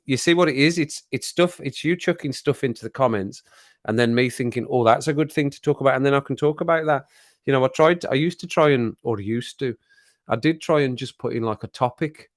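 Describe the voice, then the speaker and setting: A man hosting a casual live stream chat, rambling and laughing often, his voice a little croaky after a cold. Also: mid-range voice, fairly quick, no echo, studio quality.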